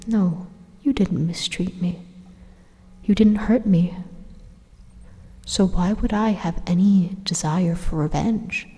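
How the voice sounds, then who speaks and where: A woman playing an evil, persuasive supercomputer in an ASMR roleplay, calmly menacing in a breathy, hushed, deliberate monologue with dramatic pauses. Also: fairly low pitch. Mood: cool, persuasive. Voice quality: breathy, whispery. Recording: long hollow reverb on the voice.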